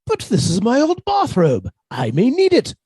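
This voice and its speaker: A man playing a meek, middle-aged British botanist husband, submissive and quietly sly, in a radio suspense drama. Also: very high-pitched, wildly swooping pitch, moderate pace, breathy edge, dry recording, studio quality, heavily acted. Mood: meek, evasive.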